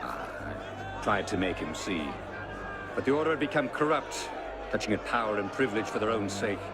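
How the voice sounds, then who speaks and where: An actor playing a cold, grandiose Templar conspirator in a video game, speaking in a measured, pompous way. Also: male voice, lowish voice, sing-song, a loud crowd, heavy background noise. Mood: smug calm. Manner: measured, pompous, speech-making. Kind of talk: video game cutscene acting.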